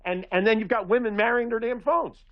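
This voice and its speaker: A man from Philadelphia talking in a casual radio chat, rambling and animated, with many restarts. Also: extremely high pitch, brisk, dry recording, narrow, tinny audio.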